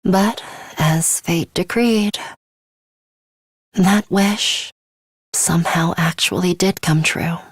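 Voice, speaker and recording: A woman's voice: fairly low pitch, slow, long pauses, slightly rough, dry recording, full character voice. A woman voicing a cold, bloodthirsty young female fighter in an English video game, formal and menacing with theatrical flourishes. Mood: grave, measured.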